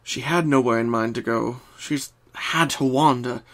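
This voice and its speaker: A man reading a scripted story as narrator, in a solemn, deliberate and dramatic way. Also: medium pitch, fairly bright tone.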